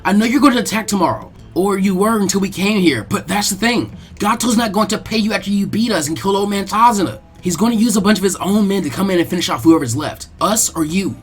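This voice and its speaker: A man voicing a brash, earnest teenage ninja boy who is loud, insistent and pleading in a fan fiction audiobook. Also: high-pitched, quick pace, hardly any pauses, soft background music, pitched above the performer's own voice, natural voice. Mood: urgent pleading.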